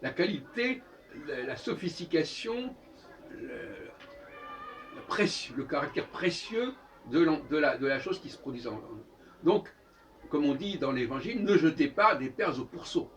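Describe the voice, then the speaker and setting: A man gives an improvised, opinionated lecture, halting and correcting himself, repeating phrases for emphasis. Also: on the high side, lively intonation. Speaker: speaker giving a philosophical talk. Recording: distant microphone, noisy room ambience.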